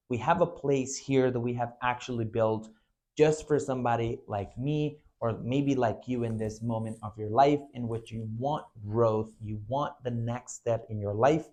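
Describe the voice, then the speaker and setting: A man's voice: medium pitch, a little mellow. A man from Venezuela in his twenties delivers a motivational recruiting talk for his own agency. He speaks earnestly and in a mid-range voice, often leaning on "you know" and "right" as he goes. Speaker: agency owner recruiting staff. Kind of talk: recruitment video monologue.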